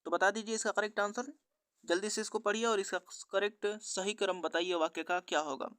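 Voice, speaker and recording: Guy doing exam prep lesson, bright sharp voice, really dry sound, formulaic question then answer delivery. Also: sits high, steady tone, a little fast, no echo. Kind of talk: scripted quiz walkthrough lesson.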